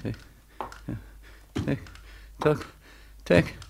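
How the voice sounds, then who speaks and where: An actor in a 1940s German radio drama playing a haunted, guilt-ridden, desperate war returnee, theatrical and staccato, swinging between hushed and frantic. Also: male voice, drawn-out words, slight background noise. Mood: dazed anguish. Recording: 1940s radio studio recording.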